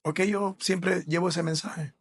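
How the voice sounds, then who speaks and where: A man's voice: high voice, fairly even intonation, measured pace, slightly rough. A man from Ecuador, a school administrator, explaining his views earnestly in a podcast interview. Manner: earnest, explanatory, with fillers and restarts.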